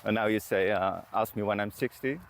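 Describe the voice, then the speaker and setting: A man's voice: lowish voice, a little fast. A man hosting a casual interview while walking outdoors, putting his questions in a searching, conversational way. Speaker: podcast host interviewing. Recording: outdoor walk with clear background noise.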